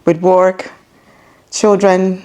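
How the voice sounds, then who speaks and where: A woman's voice: fairly low pitch, level tone, drawn-out words, a little mellow, poor audio. A woman around her thirties or forties, from Ghana and Italy, with a mixed, partly American accent, answering in a conversational interview. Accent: multicultural mixed accent with some American. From born in Ghana, grew up in Italy. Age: 30s to 40s. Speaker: interview guest. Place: her own home, indoors.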